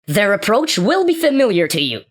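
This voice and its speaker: Woman as lively, blunt sci-fi radio play lead. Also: medium pitch, a little fast. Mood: newscaster delivery. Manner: blunt, wry, swearing. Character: exasperated, sweary starship captain and news anchor.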